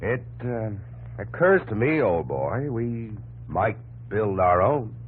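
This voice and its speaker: Wry American male inventor, 1950s radio drama, expressive lowish voice, noisy vintage recording. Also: slow pace. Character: Wilbur Wright, wry, dryly humorous older brother and inventor. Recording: muffled, noisy old radio broadcast recording.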